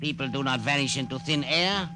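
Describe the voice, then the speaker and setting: A man's voice: mid-range voice, a little fast, natural voice. Brief: man playing oily double-crossing scientist, noisy old broadcast. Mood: smug. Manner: oily, self-assured. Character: smug treacherous male scientist. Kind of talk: 1950s radio drama acting.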